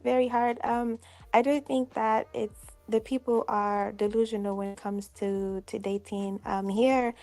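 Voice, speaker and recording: A woman's voice: on the high side, fairly even intonation, darkish tone. A woman in her twenties from Detroit, whose first language was Algonquian, calling in and talking hesitantly and apologetically. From Detroit, United States. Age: 20s. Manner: hesitant, apologetic, many pauses. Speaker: caller. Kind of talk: call-in chat.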